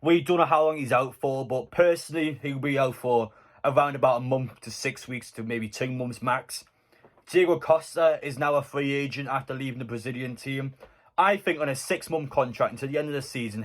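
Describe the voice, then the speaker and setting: Guy doing casual football news chat, fan youtuber type, opinionated, normal mid pitched voice. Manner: conversational, opinionated.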